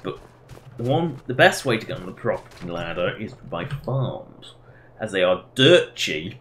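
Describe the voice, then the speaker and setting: A man's voice: fairly low pitch, sing-song, measured pace, fairly bright tone, slight background noise. A man doing casual live game commentary, rambling and self-deprecating as he chats to his viewers. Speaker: game livestreamer.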